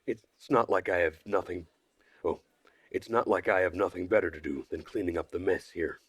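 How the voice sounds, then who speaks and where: A man with a slightly rough voice narrating a first-person visual novel story in a measured, dry manner. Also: sits low, no echo. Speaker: streamer reading a visual novel aloud.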